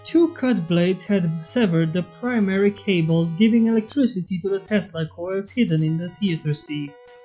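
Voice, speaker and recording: A man's voice: high-pitched, moderate pace, few pauses, a little mellow. A young adult man narrating a fan story as its reader. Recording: dull lo-fi with background music. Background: faint music.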